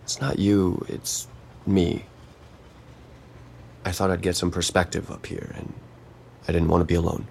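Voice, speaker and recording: A withdrawn, melancholy man in an audio drama. His voice is deep and full of gravelly fry, his speech halting and subdued, set against noisy ambience. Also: little pitch movement, a little mellow. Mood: resigned, bleak. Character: withdrawn, melancholy, guarded lonely male app user. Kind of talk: naturalistic audio drama dialogue. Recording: noticeable background noise and ambience.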